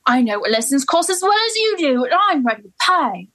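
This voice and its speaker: A woman doing a young flower girl, quick to take offence and argue back. Her voice sits high and bright. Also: big pitch swings, full character voice. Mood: cheeky. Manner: indignant, quick to protest. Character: young cockney flower girl, defiant and touchy. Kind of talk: amateur play reading.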